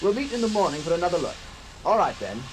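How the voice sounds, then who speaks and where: A man's voice: sits high, very animated, fast. An actor playing a musing, middle-aged man home after decades abroad, wry and hesitant, in a radio drama. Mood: brisk, attentive. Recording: faint background noise.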